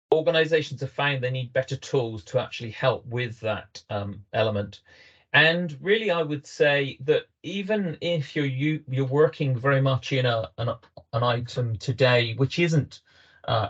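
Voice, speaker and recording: A man's voice: mid-range voice, lo-fi sound. A British man hosting a business webinar, talking hesitantly with many ums and restarts. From Britain. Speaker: webinar host, company representative.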